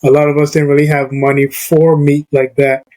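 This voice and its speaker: A man's voice: a little high, flat delivery, normal speed, dark tone. Chatty man from Barbados hosting a podcast. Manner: conversational, many fillers like "you know" and "like". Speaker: podcast host. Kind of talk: casual podcast conversation.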